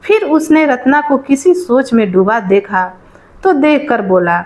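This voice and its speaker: A woman's voice: high voice, very animated, measured pace, a little mellow. A woman reading a scripted moral folk tale aloud as a storyteller.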